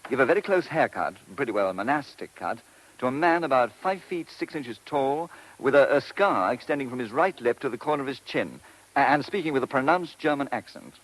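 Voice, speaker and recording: A dutiful police sergeant in an old radio play. His voice sits at a middle pitch, and he relays things in a plain, official manner. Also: expressive, brisk, fairly bright tone, low-quality recording. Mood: official, brisk. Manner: official, matter-of-fact. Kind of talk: vintage British radio play acting.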